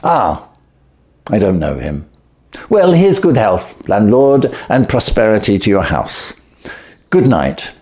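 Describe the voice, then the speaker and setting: Man playing Victorian detective, storybook, brisk, shrewd, self-assured, decisive, very varied intonation. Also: on the low side, big pitch swings, a little mellow. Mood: genial, probing. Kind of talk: storybook character voice.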